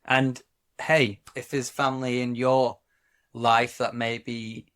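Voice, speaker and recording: A man from Wales co-hosting a podcast, talking reflectively in a casual chat, often pausing and restarting his sentences. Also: mid-range voice, flat delivery, fairly bright tone, clear, resonant tone. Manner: reflective, halting, many restarts. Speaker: podcast co-host.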